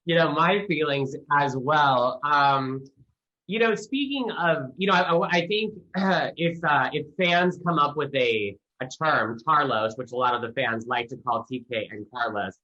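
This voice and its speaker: A man's voice: medium pitch, measured pace, bright, forceful tone. A man interviewing a guest in a conversational way, recorded with slightly dull audio.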